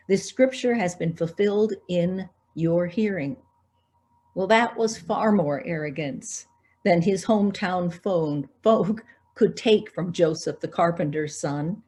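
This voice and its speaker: A woman preaches a prepared sermon as a pastor. Her voice is somewhat low in pitch for a woman. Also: warm tone. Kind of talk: scripted sermon.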